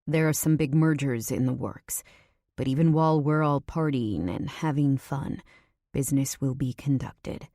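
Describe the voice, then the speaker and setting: A woman narrator acts out a brooding, protective man from a romance audiobook. The voice is low and mellow, serious and terse, giving orders with controlled intensity. The recording is close and dry. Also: no room sound.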